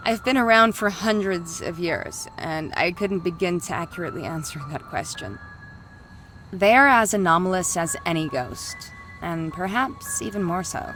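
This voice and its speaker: A woman voices an ancient female ghost for a visual novel. Her voice sits a little low, and she speaks in a terse, formal, coolly knowing way. Faint music plays behind her. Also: expressive, a bit bright. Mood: grave. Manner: formal, dry, measured.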